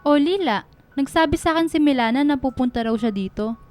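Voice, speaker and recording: Woman playing a caring mother, fairly high voice. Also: brisk, darkish tone, faint music behind, natural voice. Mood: motherly, calm. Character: caring middle-aged mother of a young writer. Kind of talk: radio drama acting.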